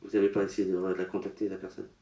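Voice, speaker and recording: A man working as a medium at a public séance, relaying messages in short, broken, halting phrases. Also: sits low, completely flat delivery, warm tone, muffled recording. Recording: roomy echo.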